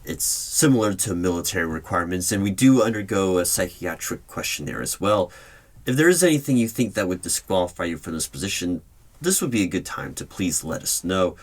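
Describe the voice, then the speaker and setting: Very deep-voiced man, formal interviewer roleplay. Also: animated. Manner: formal, deliberate, official interviewer tone. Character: stern male hiring manager of secret organization. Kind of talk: ASMR roleplay, spoken at normal volume. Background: computer keyboard typing.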